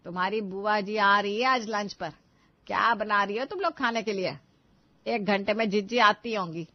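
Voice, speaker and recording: A woman's voice: medium pitch, normal speed, dry recording, lightly acted. An older cartoon mother-in-law, acted by a woman, chatty and easygoing in a mid-range voice. The audio is a bit lo-fi. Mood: casual, chatty. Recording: dull lo-fi audio.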